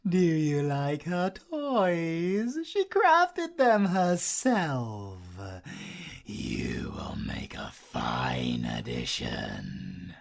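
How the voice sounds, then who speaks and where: A man's voice: on the high side, very animated, drawn-out words, gravelly, full character voice. A British man voicing a possessive, sadistic werewolf villain in a theatrical audio roleplay, purring endearments and slipping between whispers and laughter. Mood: mocking.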